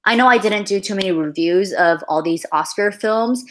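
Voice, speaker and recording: A woman's voice: mid-range voice, moderate pace. A young woman hosting a casual film livestream, chatty and gushing, with lots of fillers and sighs.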